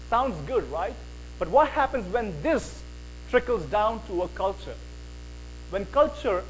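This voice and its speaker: A man with a slight Mancunian accent giving an earnest evening lecture on apologetics, pausing on "uh" and asking the audience rhetorical questions. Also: high voice, muffled recording, a little hiss or hum.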